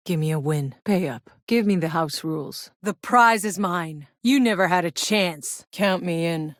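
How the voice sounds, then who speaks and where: A hardened woman soldier from a sci-fi video game. Her voice sits on the low side, with a blunt, world-weary, sardonic edge and a sweary directness. The sound is dry and studio-clean, with no room around it. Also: measured pace, dry recording. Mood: cool, confident. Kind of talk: scripted video game character dialogue.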